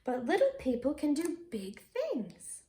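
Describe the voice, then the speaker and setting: A woman voicing eager little twin boys with big plans in a storybook read-aloud, excited and emphatic. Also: high-pitched, measured pace, full character voice. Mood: determined.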